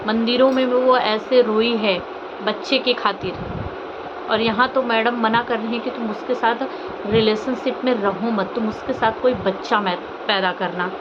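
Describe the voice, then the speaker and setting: Woman vlogger over loud background noise. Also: a little high, steady tone, a little slow. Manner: emotive, rhetorical questions and repetition. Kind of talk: informal opinionated commentary to viewers. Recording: dull lo-fi audio, loud vehicle noise.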